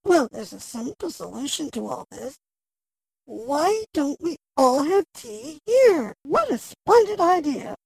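A high, swooping female storybook voice, acted out by a man, bossy and theatrical, with a creaky edge. It suits a witch or magic creature in a fairy tale audio play, recorded dry and close. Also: very animated, takes it slowly, exaggerated voice, rough recording. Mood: squabbling.

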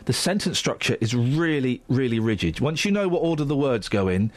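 A man's voice: mid-range voice, expressive, fairly quick, dry recording. A male radio presenter in a studio, bantering in a playful, teasing, sing-song way.

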